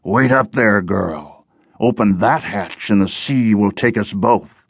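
A man voicing a huge, gruff, menacing seafaring outcast in an audiobook, giving unhurried orders. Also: lowish voice, dry recording, heavily acted. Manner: gruff, nautical, unhurried commands. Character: giant bloated gruff male menacing underwater outcast. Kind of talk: audiobook character voice.

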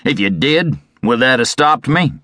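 A man voicing a bullying, sneering outlaw in a Western story, with taunting, rough frontier talk. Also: medium pitch, lively intonation, measured pace, pushed and bright, no room sound, clean studio sound, voice pushed up. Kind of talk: Western audiobook character.

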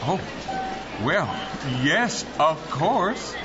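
An actor playing a fussy, eager department store manager in an audio drama. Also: male voice, sits high, animated, drawn-out words, music in the background, full character voice. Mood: officious, eager. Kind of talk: full-cast audio drama acting. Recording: muffled with clear background noise.

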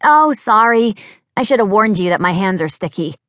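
A woman's voice: mid-range voice, expressive, normal speed, a bit bright, dry recording, clean studio sound, voice pushed up, natural voice. A woman voicing a clumsy, bubbly young American woman with an American accent who rambles on breathlessly. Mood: chatty.

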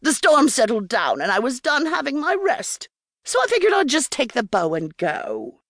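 A woman's voice: sits high, very animated, normal speed, a bit bright, dry recording, clean studio sound, voice pushed up, exaggerated voice. A woman voicing a wily, coarse, mean-tempered old hag in a storybook, brash and scornful. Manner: brash, scornful, talks with mouth full.

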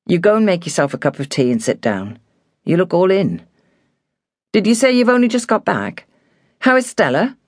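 A woman voicing a steady, protective male estate manager in an audiobook, firm, quiet and reassuring. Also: lowish voice, normal speed, pauses often, no room sound, clean studio sound, voice pushed up. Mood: quiet concern.

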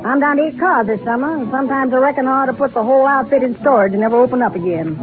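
A wistful old Southern carnival boss played by an actress, spinning her yarn in a folksy, rambling way. Her voice sits fairly high over prominent music on a tinny old radio broadcast. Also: racing, close to an everyday voice. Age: older. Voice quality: folksy, rural speech. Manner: rambling, confiding storyteller. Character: folksy aging Southern carnival show owner, wistful, garrulous woman. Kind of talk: 1930s radio drama narration.

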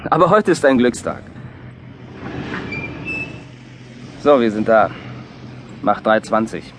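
A man's voice: fairly low pitch, big pitch swings, normal speed. A man playing a cheerful, superstitious taxi driver in a radio play, breezy and colloquial. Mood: breezy, slightly defensive. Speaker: actor in an audio drama. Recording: noisy, with car and traffic sounds. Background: car engine and street ambience.

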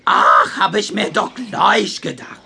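A muddle-headed, excitable owl from a children's audio drama. The delivery swings wildly and takes its time, with a bright, pushed tone and an air of naive self-importance. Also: mid-range voice, takes it slowly, vocal fry, a little hiss or hum, heavily acted. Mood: puzzled, know-it-all. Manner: exaggerated, naive, know-it-all.

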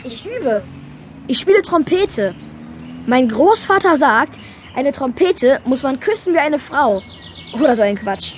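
Cheeky, high-voiced tiny boy. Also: very animated, measured pace, slight background noise. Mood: cheeky, confident. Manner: chirpy, self-assured, showy. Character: Bulle, tiny cheeky, confident red-haired boy. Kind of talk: children's radio play dialogue.

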